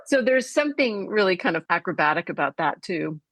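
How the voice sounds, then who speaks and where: A woman's voice: fairly low pitch, lively intonation, a little fast, fairly bright tone, no echo. A woman being interviewed on the radio as a theatre director, explaining things conversationally with detailed examples.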